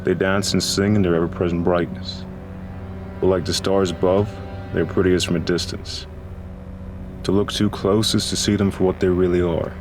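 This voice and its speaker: Music and rain play under a man's deep voice. He narrates a scripted noir horror tale gravely and dramatically, as a storyteller in an audio drama. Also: level tone, normal speed, low-quality recording. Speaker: narrator and voice actor.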